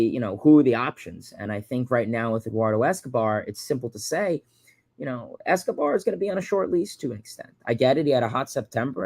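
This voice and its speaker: A man's voice: medium pitch, darkish tone, no echo. A man in his early twenties from Long Island, New York, hosting a casual livestream and answering viewer questions. He rambles and repeats words, with lots of fillers. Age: 20s. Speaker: livestream host.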